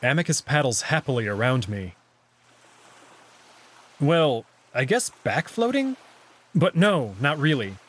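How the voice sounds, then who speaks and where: A man's voice: mid-range voice, big pitch swings, measured pace, pauses often, fairly bright tone. A man reading scripted first-person story narration from a visual novel, with acted dialogue. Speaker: narrator and voice reader.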